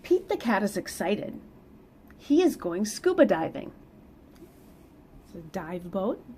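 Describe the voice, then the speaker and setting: Middle-aged woman, children's read-aloud, unhurried, sing-song emphasis, some background noise, small room. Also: mid-range voice. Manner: animated, sing-song emphasis for young listeners. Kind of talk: expressive children's picture-book reading with casual asides. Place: small plain indoor room.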